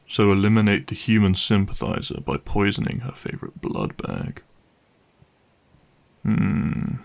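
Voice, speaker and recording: A man playing a cynical, menacing vampire hunter in an audio roleplay, speaking deliberately and sardonically with a gravelly voice. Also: on the low side, heavily acted. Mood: probing, wry. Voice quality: gravelly, creaky.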